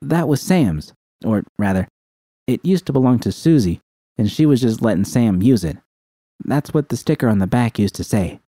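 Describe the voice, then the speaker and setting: A man's voice: medium pitch, lively intonation, moderate pace, warm tone, no echo, studio quality. A man voicing a geeky, playful, sardonic young woman in audiobook dialogue. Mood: amused, wry. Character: techy, teasing young woman, sardonic and warm-hearted.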